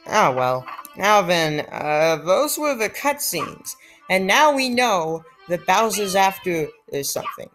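A woman giving casual, excitable commentary while playing a video game, joking and muttering asides. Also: medium pitch, slow. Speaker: let's play gamer. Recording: faint game music behind.

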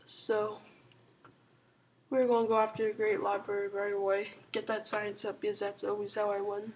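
A hoarse woman who is clearly sick talks quietly through a strategy game, rambling and hedging as she goes. Her voice is flat and even, with little rise and fall, over a faint hiss. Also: mid-range voice, moderate pace. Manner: rambling, full of "like" and "um", soft-spoken. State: hoarse and sick, sore throat. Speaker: gaming channel host. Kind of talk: casual strategy game commentary.

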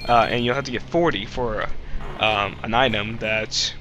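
A man's voice: lowish voice, steady tone, moderate pace, music in the background. A young man hosting a Let's Play with casual, rambling, jokey gameplay commentary and a slightly stuffy nose. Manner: rambling, goofy, jokey asides.

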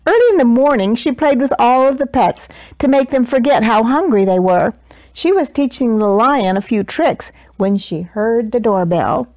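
Casting: woman, 60s, expressive children's story reader, dramatic emphasis, close dry lapel-mic sound. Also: fairly high pitch, no room sound. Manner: deliberate, dramatic emphasis for young listeners. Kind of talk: expressive picture-book read-aloud. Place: small living room, indoors.

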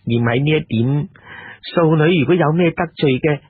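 A man's voice: fairly high pitch, moderate pace, slightly rough, dry recording. A man voicing a fawning, coquettish middle-aged brothel madam in a storytelling recording, gushing and wheedling. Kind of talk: Cantonese audiobook storytelling. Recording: lo-fi, narrow phone-like radio sound.

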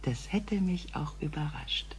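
An actress playing a composed female alien in a radio play, speaking formally and precisely with a husky voice. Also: fairly low pitch, measured pace, in a whisper. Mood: reserved. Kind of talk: radio drama acting.

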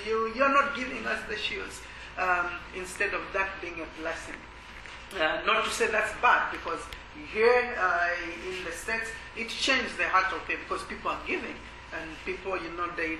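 A man from Malawi tells his story at a church workshop, his voice pitched fairly high and pausing often on filler sounds. The room around him rings with echo. A steady noise sits under the talk. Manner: hesitant, many filler sounds. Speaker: ministry worker. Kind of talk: workshop testimony. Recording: echoey room with background noise.

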